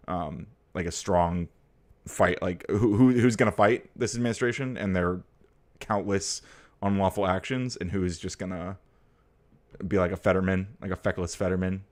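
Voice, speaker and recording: Deep-voiced American man riffing on stream. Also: steady tone, normal speed. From United States. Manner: rambling and hesitant, full of fillers and restarts; blunt and profane when riled. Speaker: livestream host. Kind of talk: casual political chat, reading viewer comments.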